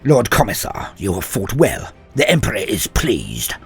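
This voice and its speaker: A man's voice: fairly low pitch, huge pitch swings, normal speed, creaky, slightly rough, soft background music, exaggerated voice. A man voicing a stern, devout warrior priest in a sci-fi war story, declamatory and pious. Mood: proud, barking.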